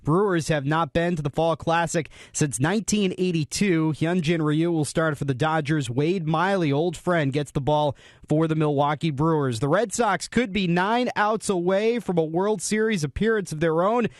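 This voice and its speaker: Dry-sounding American radio sports anchor, highish voice. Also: male voice, moderate pace, dry recording. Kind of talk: scripted radio scoreboard update. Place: radio studio.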